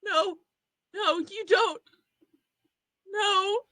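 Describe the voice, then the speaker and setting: A woman's voice: very high voice, very slow, pushed and bright, no room sound, full character voice. A woman playing a troubled, bitter and frightened young psychiatric patient in a dramatic table read. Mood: panicked.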